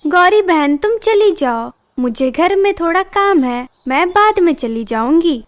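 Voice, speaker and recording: A woman voicing a poor, gentle mother bird in a cartoon, earnest, apologetic and worried. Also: very high voice, lively intonation, a little slow. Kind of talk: Hindi cartoon voice acting.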